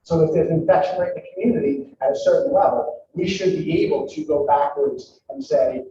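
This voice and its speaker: A man's voice: fairly high pitch, fairly quick, noisy background. A man, a school district leader, giving a public presentation to families in a conversational way, with many ums and restarts. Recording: echoey hall, far from mic, dull.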